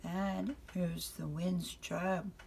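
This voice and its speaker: A woman voicing a patient but firm father who explains things in a deliberate, matter-of-fact way in a bedtime storybook. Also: lowish voice, flat delivery, gravelly, a little mellow, a little hiss or hum, full character voice. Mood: patient explaining.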